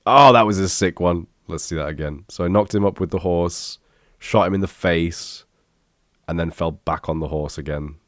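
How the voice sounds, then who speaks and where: A man's voice: low voice, moderate pace, no room sound, clean studio sound. A young man with a stuffy, bunged-up voice, rambling and joking at his own expense while reacting to his old videos. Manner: rambling, self-deprecating, swears casually. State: congested, bunged up from illness. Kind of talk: casual reaction commentary.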